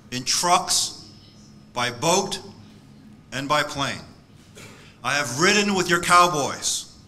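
A man, delivering a measured, emphatic keynote speech at a podium microphone in a conference hall. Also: fairly high pitch, bright, forceful tone, slight background noise. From born in South Korea, raised in the United States. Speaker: keynote speaker. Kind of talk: formal prepared speech.

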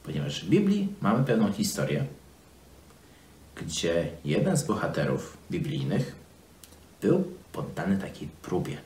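A man telling a Bible story to children in simple words, halting as he explains, recorded in a small office. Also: low voice, wildly swooping pitch, darkish tone, slight background noise. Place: small office, seated at desk. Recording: roomy indoor echo.

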